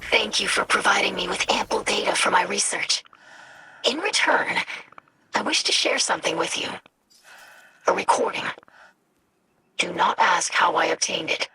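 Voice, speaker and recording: A woman's voice: mid-range voice, moderate pace, long pauses, noisy background, lightly acted. An actress voicing a studious young female alien researcher in a game, formal, careful and sincere. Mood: formal, secretive. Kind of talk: dramatic game monologue.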